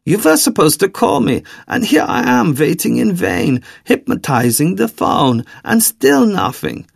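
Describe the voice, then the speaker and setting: Man voicing a sly, high-pitched German villain. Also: huge pitch swings, creaky, husky, dry recording, studio quality, exaggerated voice. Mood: smooth, coaxing. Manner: coaxing, theatrical, deliberate. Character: tall, sly villainous man, calm, persuasive, sinisterly courteous.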